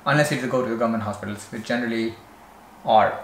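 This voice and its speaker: A man's voice: medium pitch, quick pace, a little hiss or hum. Indian man, casual chat in echoey room. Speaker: vlogger co-host. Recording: echoey room with faint ambience.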